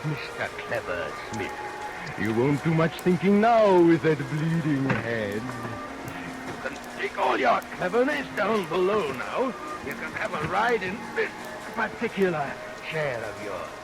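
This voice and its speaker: An actor playing a menacing, murderous barber in a theatrical, sneering, mock-polite vintage radio melodrama style. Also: male voice, fairly high pitch, very animated, measured pace, music in the background. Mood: sinister, threatening. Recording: noisy vintage radio recording.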